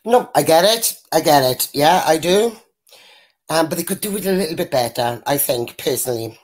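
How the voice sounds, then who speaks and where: A woman with a deep voice, bluntly giving her opinions as a guest on a video-call panel. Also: lively intonation, normal speed, a little mellow. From Wales. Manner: blunt, rambling, mimics others when quoting. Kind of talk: casual opinionated chat.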